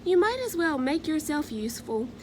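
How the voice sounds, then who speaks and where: A woman's voice: sits high, sing-song, normal speed, a little hiss or hum, pitched above the performer's own voice. A woman voicing a strict female head librarian from a children's storybook, stern and commanding about the rules. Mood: firm, accepting.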